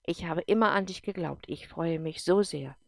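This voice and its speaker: A woman's voice: on the low side, moderate pace, gravelly, darkish tone, dry recording, studio quality. Woman voicing an affectionate young sister.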